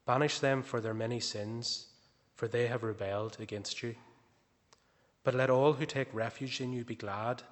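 Brief: man reading scripture slowly, distant in a long church reverb. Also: medium pitch, steady tone. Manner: slow, measured reading. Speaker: scripture reader. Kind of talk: Bible reading in a service.

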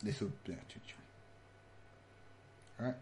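A man's voice: unhurried, a little mellow, slight background noise. A man running a tabletop game over a voice call, loose and stumbling, swearing casually as he narrates and calls rolls. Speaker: game master.

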